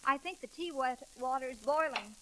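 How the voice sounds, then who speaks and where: A woman's voice: high voice, normal speed, background noise, natural voice. A woman acting a friendly, homespun small-town widow in an old radio comedy, plain-spoken and informal. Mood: friendly, modest.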